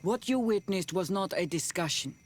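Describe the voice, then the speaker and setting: An actress playing a proud, solemn female clan spiritual leader in a fantasy video game, stern and measured as she explains. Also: mid-range voice, lively intonation, lightly acted. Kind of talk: video game character acting.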